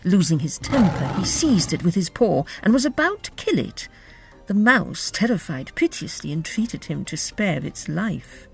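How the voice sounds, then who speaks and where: Lively, creaky-voiced woman narrator. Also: on the low side, normal speed, soft background music. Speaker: podcast host and narrator. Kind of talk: scripted storybook narration.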